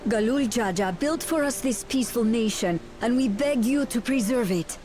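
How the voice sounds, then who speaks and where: A woman's voice: on the high side, lively intonation, moderate pace, dark tone, soft background music, lightly acted. An actress playing a female villager pleading for peace in a game. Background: game soundtrack.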